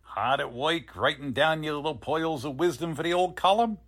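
Man acting a cocky, husky-voiced wisecracking newsman. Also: on the high side, normal speed, a bit bright. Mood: teasing. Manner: teasing, streetwise slangy speech. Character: cocky wisecracking newspaper photographer, cynical and slangy. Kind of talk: 1940s radio drama acting.

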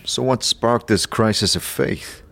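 A man's voice: fairly low pitch, unhurried, gravelly, a bit bright, heavily acted. A man playing an amnesiac undead wanderer in a fantasy audio drama, dry, earnest and understated. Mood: dry, curious. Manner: hesitant, understated. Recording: faint background noise and ambience.

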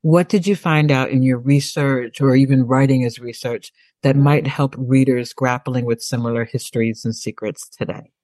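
A woman's voice: very deep voice, steady tone, normal speed, mellow, no echo. A woman podcast host interviewing an author, gracious and posing long, layered questions.

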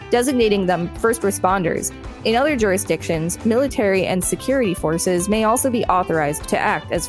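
A woman hosting a podcast, reading encyclopedia articles aloud with brief commentary. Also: medium pitch. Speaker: podcast host and reader.

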